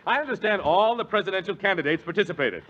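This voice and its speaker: Man, 1940s radio announcer, high emphatic read, dull old recording. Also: expressive, fast talker, a bit bright. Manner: polished, emphatic announcer delivery.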